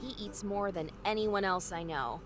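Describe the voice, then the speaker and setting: A woman's voice: medium pitch, moderate pace, natural voice. An actress voicing a cheerful young woman adventurer in a game, talking in a friendly, chatty way. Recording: game audio with faint music.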